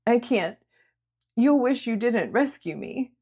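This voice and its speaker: A woman's voice: on the high side, expressive, slow, mellow, husky, pitched above the performer's own voice, full character voice. A woman voicing a guilt-ridden, needy young woman in a dramatic audiobook, tearful and pleading. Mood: guilty, tearful. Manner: sobbing, pleading, self-accusing. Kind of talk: dramatic audiobook character acting.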